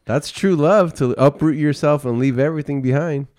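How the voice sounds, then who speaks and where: A man's voice: on the high side, normal speed, a little mellow, dry recording, studio quality. A man hosting a podcast interview, easygoing and encouraging as he reacts to his guest and shares his own stories. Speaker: podcast host. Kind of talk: casual interview chat.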